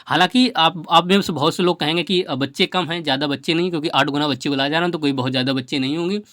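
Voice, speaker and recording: A man's voice: a little high, very fast, fairly bright tone, dry recording, studio quality. A man giving an informal, emphatic explainer to students as an exam coach, often repeating his points for stress. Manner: repetitive, emphatic, advising.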